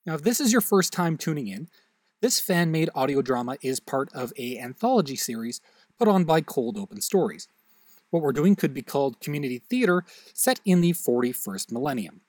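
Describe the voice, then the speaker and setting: A man's voice: a little high, big pitch swings, a little fast, darkish tone, no room sound. A man hosting an audio drama podcast, reading a scripted outro in friendly direct address to listeners. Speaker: host and producer of audio drama.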